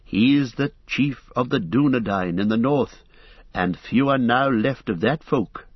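A man's voice: medium pitch, measured pace, slightly rough, no echo, dull audio, voice pushed up. A man voicing an ancient, solemn elf lord in a stately, raised manner.

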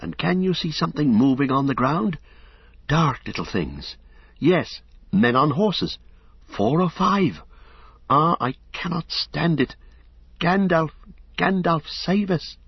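A man voicing an anxious, excitable young male hobbit in a higher, breathless, pleading voice, from an audiobook reading. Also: moderate pace, slightly rough, dry recording. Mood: frightened. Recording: dull lo-fi audiobook recording.

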